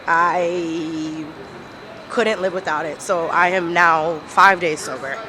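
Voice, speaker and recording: A woman's voice: fairly low pitch, fairly even intonation, slow pace, a crowd in the background, low-quality recording. Stuffy-nosed woman chatting to camera. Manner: chatty, informal, addresses viewers as y'all. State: stuffy, congested from a cold. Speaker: vlogger host. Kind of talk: casual vlog chat to camera.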